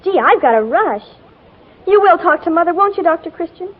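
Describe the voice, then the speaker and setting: An actress playing a headstrong, slangy fifteen-year-old tomboy in an earnest, gushing 1940s radio drama style. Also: female voice, very high voice, animated, a little fast, phone-quality sound. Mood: urgent, excited.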